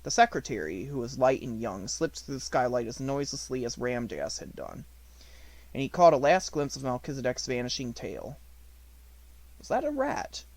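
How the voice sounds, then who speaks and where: A man's voice: medium pitch, lively intonation, normal speed, gravelly, no echo. A man reading a classic children's novel aloud as scripted audiobook narration. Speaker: audiobook reader.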